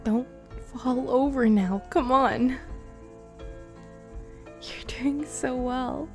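A woman voicing a possessive, menacing young vampire bride in an intimate, teasing ASMR roleplay, breathy and often whispering. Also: sits high, very slow, a little mellow, soft background music. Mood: tender, teasing. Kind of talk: ASMR girlfriend roleplay, improvised.

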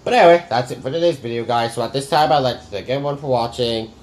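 A man's voice: medium pitch, fast talker, darkish tone. Rambling man saying "guys" nonstop. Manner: rambling, says "guys" constantly. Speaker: reaction channel host. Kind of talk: casual reaction commentary.

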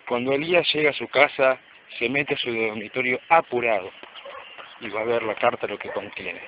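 A man's voice: medium pitch, normal speed. A man reading scripted narration for an amateur radio play. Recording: muffled, noisy amateur recording.

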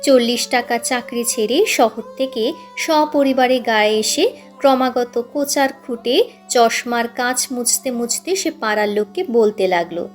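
A woman's voice: a little high, unhurried. A woman reading a scripted literary story as an audiobook narrator, with instrumental music behind her. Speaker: channel host and story narrator.

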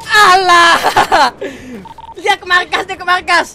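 A streamer in online roleplay, calling out repeatedly in excited, taunting banter. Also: extremely high pitch, slow pace, a crowd in the background.

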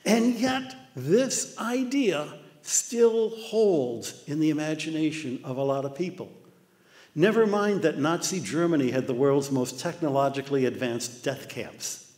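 Older American man lecturing in a reverberant hall. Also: mid-range voice. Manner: animated storytelling with mock voices. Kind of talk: illustrated talk. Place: dark lecture hall with projector screen.

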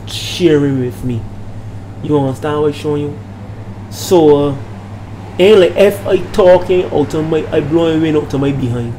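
An older man with a Bajan accent talks casually as a minister hosting his vlog, preachy and emphatic, repeating phrases and checking in with listeners. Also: fairly high pitch. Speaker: minister and channel host. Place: small room at home, indoors. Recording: noisy room with steady background hum.

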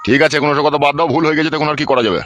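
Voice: medium pitch, very fast, a bit bright, dull audio, a little hiss or hum, close to an everyday voice. A performer voicing a blunt, weary older father-in-law who grumbles in a comedy cartoon. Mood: placating.

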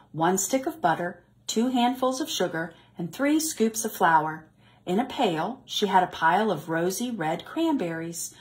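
A woman reads a children's picture book aloud in a deliberate, expressive way for young listeners. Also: fairly low pitch. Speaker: children's story reader.

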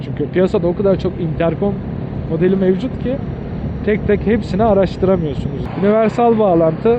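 A man with a high voice talks informally to viewers as he reviews gear, patiently restating his points. The sound is dull and muffled, with very loud vehicle and engine noise outdoors behind him. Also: a little fast. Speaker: motorcycle vlogger reviewing gear.

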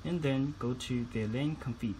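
A man's voice: medium pitch, normal speed, warm tone, low-quality recording. Man narrating a tutorial over background noise. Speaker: product demonstrator.

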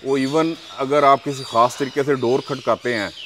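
A man from Pakistan who breeds parrots, answering interview questions calmly and explaining at length with examples. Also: mid-range voice, moderate pace, background noise.

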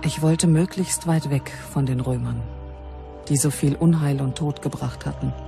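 Grave Germanic priestess, over music. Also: female voice, low-pitched, fairly even intonation, moderate pace, gravelly. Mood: solemn, wary. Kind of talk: dramatised first-person documentary narration. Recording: music behind the voice.

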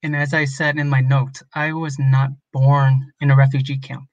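A man's voice: medium pitch, monotone, moderate pace, no echo, dull audio. A man in his thirties from Minnesota, an illustrator, speaking earnestly and openly on a video call. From Minnesota, USA. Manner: earnest, open, personal. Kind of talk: conversational guest talk. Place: home room.

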